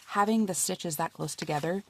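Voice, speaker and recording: A woman's voice: fairly low pitch, moderate pace, poor audio. A woman with a cold, chatting casually as she flips through her handmade journal, bubbly and often dropping to a murmur. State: sniffly from a cold. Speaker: crafter showing a handmade journal.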